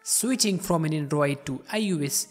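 A man's voice: fairly high pitch, expressive, normal speed, long reverb tail. A man hosting a tech channel, reading a scripted step-by-step tutorial voice-over in an upbeat, promotional way and addressing viewers directly.

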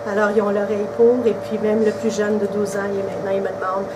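Interviewee: woman in her 40s, québécois accent, relaxed chat, distant camera mic, hissy room. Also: mid-range voice, fast. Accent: Québécois French. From Quebec, Canada. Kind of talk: casual interview answers. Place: indoors by a brick wall.

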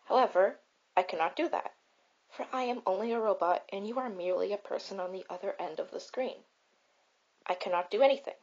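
A woman playing a caring but blunt, self-important robot in a comfort roleplay, speaking in a deliberate, declamatory way. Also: mid-range voice, darkish tone, lightly acted. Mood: earnest, grandiose. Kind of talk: comfort roleplay monologue with storytelling. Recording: slightly dull, raw home recording.

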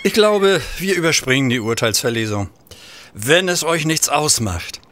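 An actor playing a stern, impatient judge at an execution in an audio drama, commanding and sardonic. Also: male voice, on the high side, animated, normal speed, fairly bright tone.

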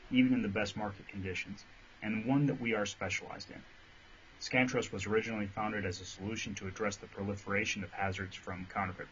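A man presenting his company in a steady, scripted voice-over. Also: fairly low pitch. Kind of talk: read-out voice-over pitch. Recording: dull audio with faint hiss.

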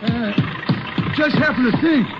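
An actor playing a chatty, obliging small-town American cotton gin boss with a folksy manner in a radio drama. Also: male voice, very high-pitched, a little slow, music in the background. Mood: folksy, helpful. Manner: folksy, chuckling, hesitant. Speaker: supporting actor. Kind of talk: 1950s radio drama acting. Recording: noisy, muffled old radio recording.

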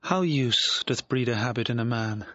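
An actor playing a lonely, pensive exiled young gentleman in a reflective, declamatory classical Shakespearean soliloquy, with a husky voice. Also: mid-range voice, normal speed, music in the background, lightly acted. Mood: pensive, yearning. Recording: dull, lo-fi audio recording.

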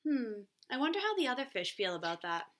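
A woman's voice: a little high, sing-song. A woman in her twenties, a schoolteacher explaining and reading aloud to young children with teacherly emphasis. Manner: clear teacherly emphasis, pauses for questions. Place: small room at home, kitchen.

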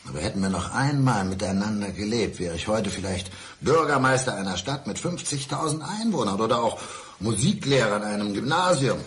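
A man's voice: on the low side, expressive, a little fast, a bit bright, a little hiss or hum. An actor playing a bitter, brooding blind divorced man who needles his ex-wife in a radio crime drama. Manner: brooding, sardonic, insistent. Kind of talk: 1990s German radio crime drama acting.

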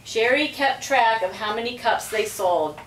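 A middle-aged woman reads a storybook aloud slowly, with a lively, fairly high voice. She sounds like a measured storyteller in a roomy space, recorded from across the room. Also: a little hiss or hum. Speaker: school principal reading aloud. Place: small indoor room with block walls. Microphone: distant camera microphone. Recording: roomy, distant camera microphone.

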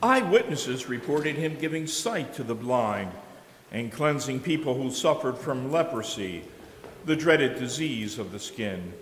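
A middle-aged man narrates from a lectern in a steady, measured way. His voice rings through a large church with a long, echoing reverb. A faint background hiss sits under it. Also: mid-range voice. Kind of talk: scripted narration read aloud. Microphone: lectern microphone.

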